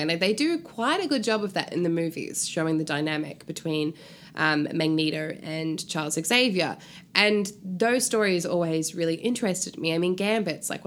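A woman from New Zealand talks as an interview guest in a fairly low voice. She is chatty and jokey, with casual slang, and there is some faint noise behind her in the room. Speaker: guest author. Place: hotel room.

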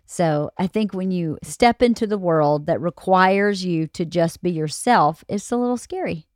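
Podcast co-host: woman, late forties, lower register, candid and reflective, close dry studio sound. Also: measured pace, darkish tone, dry recording. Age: late 40s. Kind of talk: casual intimate conversation.

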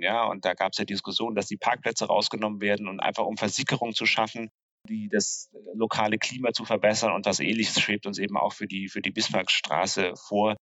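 A man explaining street and cycle route planning in a relaxed conversational way, pausing often with fillers and restarting sentences. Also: fairly low pitch, no echo, dull audio. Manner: hesitant, many fillers and restarts. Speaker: cycling infrastructure planner.

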